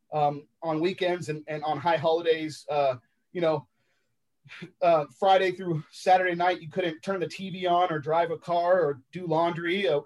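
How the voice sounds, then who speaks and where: A man from Los Angeles whose first language is sign language, telling his life story as a podcast guest in a reflective, halting way with many ums and uhs. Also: on the high side, rough recording. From Los Angeles, United States.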